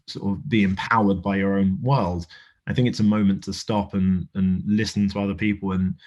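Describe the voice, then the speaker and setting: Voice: sits low, a little mellow, dull audio. A speaker giving a reflective, thoughtful interview comment.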